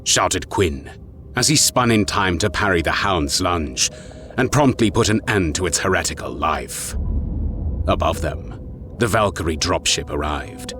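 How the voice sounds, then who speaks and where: A man reading scripted, dramatic narration for an audio story. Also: deep voice. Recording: music behind the voice.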